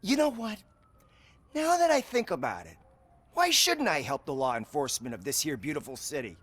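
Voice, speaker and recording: Arrogant game celebrity: animated pitch, sarcastic, irritable, theatrical sneer. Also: male voice, normal speed. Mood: sly. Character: arrogant, foul-mouthed TV star, irritable and smug. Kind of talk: video game cutscene acting.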